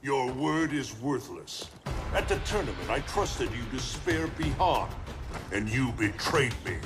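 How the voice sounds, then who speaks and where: An actor playing a stern, suspicious, commanding thunder god in a video game, speaking in an imperious, theatrical, formal manner. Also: male voice, mid-range voice, sing-song, measured pace. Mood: accusing. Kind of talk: video game cutscene acting. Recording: loud game music behind. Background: loud cinematic music.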